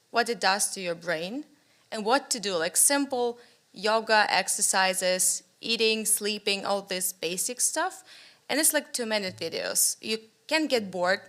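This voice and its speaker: A young woman with a Ukrainian accent speaking enthusiastically at a panel discussion in a conference room, using a table microphone. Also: medium pitch. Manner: enthusiastic, animated, with gestures.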